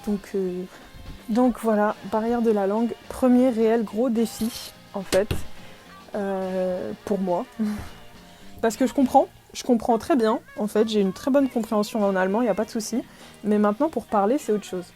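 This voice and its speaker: A woman's voice: medium pitch, normal speed, dark tone, faint music behind. A young woman from France chatting casually in a podcast-style vlog, self-deprecating, with many fillers and small laughs. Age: young adult. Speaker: vlogger.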